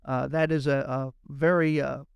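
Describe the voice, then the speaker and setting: A man from Florida hosting a podcast, chatting casually and rambling with lots of fillers, a little raspy from a cold. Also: mid-range voice, flat delivery, moderate pace, no room sound, clean studio sound. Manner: rambling, with many uh fillers and restarts. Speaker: podcast host. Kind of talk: casual chat.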